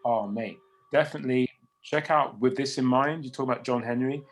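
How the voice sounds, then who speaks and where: A man's voice: mid-range voice, brisk. Man hosting a casual discussion. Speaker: panel discussion host.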